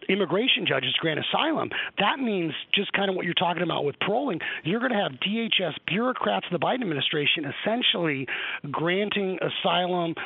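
Male caller, native Serbo-Croatian speaker, raised-pitch voice, dry tinny phone line. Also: vocal fry, breathy edge, no echo. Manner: earnest, campaign-style talking points. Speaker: political guest, Senate candidate. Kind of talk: call-in radio interview.

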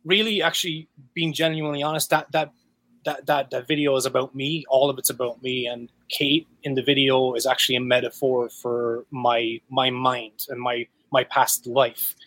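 A man in his thirties with an Irish accent, a guest musician talking frankly and profanely, with lots of halting restarts. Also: mid-range voice, little pitch movement, a bit bright, no room sound. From Ireland. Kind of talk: candid casual interview talk.

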